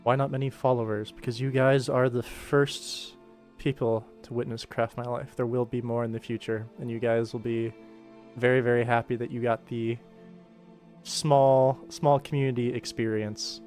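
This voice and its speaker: A man's voice: medium pitch, flat delivery, normal speed, darkish tone, soft background music. An American man chatting casually on a livestream as he works, sometimes talking half to himself. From United States. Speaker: livestreamer and animator. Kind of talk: casual livestream chat while working.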